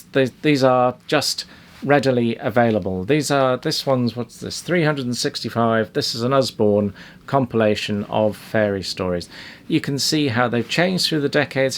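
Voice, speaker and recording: A middle-aged man presenting an informal, unscripted educational talk on his own, often repeating and rephrasing his words as he explains. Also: medium pitch. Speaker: presenter of a children's book guide.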